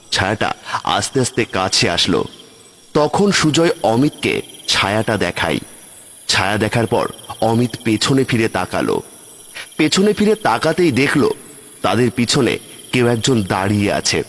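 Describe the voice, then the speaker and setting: Animated male ghost-story narrator. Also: lowish voice, long reverb tail, a little hiss or hum. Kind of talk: dramatic scripted ghost-story narration for a cartoon.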